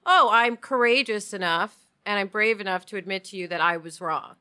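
A woman talking casually and reflectively as a podcast co-host, with an animated, opinionated and emphatic manner. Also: mid-range voice, a little fast, clear, resonant tone.

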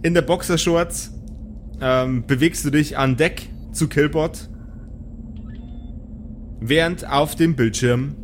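A man narrating an improvised pen-and-paper audio story as game master, describing scenes haltingly. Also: medium pitch, slight background noise.

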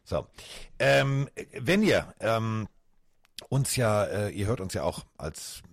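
Podcast co-host, male, low-pitched and lively, dry booth sound. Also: slow pace, creaky, no room sound. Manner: animated, rambling with many fillers and restarts. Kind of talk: casual podcast chat.

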